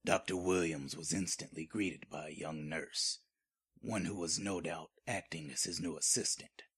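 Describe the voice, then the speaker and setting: A man's voice: extremely low pitch, fairly even intonation, normal speed, fairly bright tone, slightly rough. A man reading scripted horror narration in an ominous, dramatic manner.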